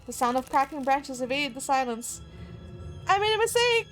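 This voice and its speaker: A woman's voice: sits high, sing-song, moderate pace, a bit bright, low-quality recording. A woman game streamer reading a horror visual novel aloud, dramatically, with spontaneous asides. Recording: music behind the voice. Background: game music.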